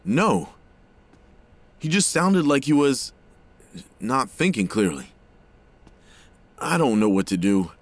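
Desperate, earnest father played by a man, highly animated intonation, emotional audio drama delivery. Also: slow pace, pauses often, no echo. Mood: worried. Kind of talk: dramatic audio fiction acting.

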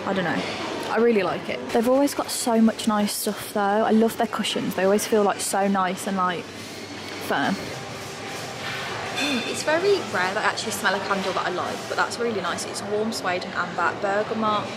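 A woman's voice: medium pitch, moderate pace. A woman in her twenties with an English accent, chatting informally to camera in a casual vlog style, often dropping in "like". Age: 20s. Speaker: vlogger. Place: parked car, later shop, moving car, kitchen. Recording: clear ambient background noise.

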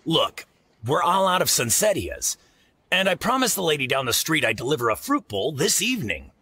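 Game merchant: exasperated yet decent, husky, forceful, bright edge, highly animated. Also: male voice, normal speed, a little hiss or hum, exaggerated voice. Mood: weary, frank. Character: gruff, exasperated but decent market merchant man. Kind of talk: video game voice acting.

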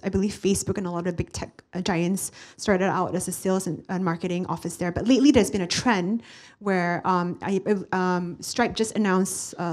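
A woman's voice: mid-range voice, a little mellow. Woman moderating a panel, handheld mic. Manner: casual, many fillers, upbeat. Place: stage of a large hall with audience.

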